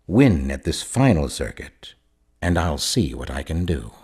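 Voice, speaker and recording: Sinister mastermind villain in a video game, really deep, pompous and formal, swooping theatrical delivery. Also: male voice, big pitch swings, measured pace, darkish tone, slightly rough, heavily acted. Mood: imperious. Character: grand, sinister male mastermind, coldly courteous and powerful. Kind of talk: video game character dialogue.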